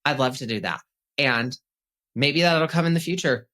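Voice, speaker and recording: A man's voice: medium pitch, moderate pace, sharp tone. A man being interviewed as a pet psychic on a podcast, chatty and upbeat, with some casual swearing.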